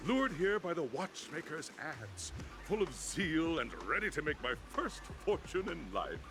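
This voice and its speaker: A man's voice: fairly high pitch, very animated, a little slow. An actor playing an elderly, theatrical tycoon in a video game, speaking in a grandiose showman's way. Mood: boastful, nostalgic. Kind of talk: video game character acting. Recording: game music behind the voice.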